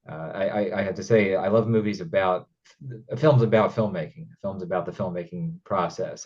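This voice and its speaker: Man, interviewer, fairly deep voice, hesitant phrasing, conversational, somewhat dull recording. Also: darkish tone. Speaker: interview host, independent filmmaker.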